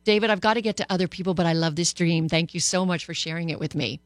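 A woman hosting a radio call-in show as a psychologist, giving animated, encouraging advice. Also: fairly low pitch, quick pace, no room sound. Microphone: studio microphone.

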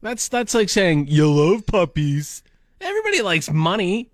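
Male morning-show host, wildly swooping pitch, slightly raised voice, sarcastic teasing. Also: huge pitch swings, normal speed, dry recording, studio quality. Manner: teasing, sarcastic, laughs often. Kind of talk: casual joking banter. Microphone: studio microphone.

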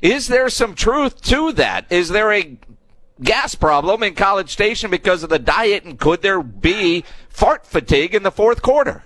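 A male sports radio host talks in a fairly high voice with a very dry studio sound. His style is casual and joking, and he poses questions with a teasing edge. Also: big pitch swings, a little slow, a bit bright, no echo.

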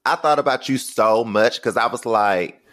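A man hosting a podcast, chatting casually in slangy, animated speech and swearing freely. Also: mid-range voice, moderate pace, no echo. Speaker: podcast host. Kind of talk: casual chat.

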